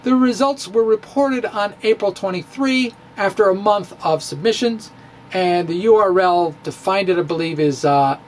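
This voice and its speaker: A man's voice: high-pitched, slight background noise. A middle-aged man giving a dramatic reading of his own physics blog, wry and theatrical, with playful asides, at a stand mic in a small room. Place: small room in front of bookshelves. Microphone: studio mic on stand in front of him.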